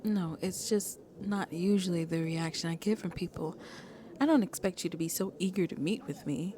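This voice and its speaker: A woman playing a shy, sweet vampire on a first date in an ASMR roleplay, soft-spoken and hesitant, often dropping to a whisper. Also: fairly low pitch, moderate pace, a little hiss or hum, natural voice. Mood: shy, warm. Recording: crowd chatter in the background. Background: crowd chatter.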